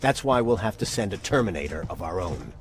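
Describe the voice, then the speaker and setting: An actor playing a solemn, resolute resistance commander in a video game, speaking in a deliberate, measured way. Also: male voice, low voice, sing-song. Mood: grave, urgent. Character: grave, resolute male resistance commander in a future war. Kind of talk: dramatic video game acting. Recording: game dialogue over loud music.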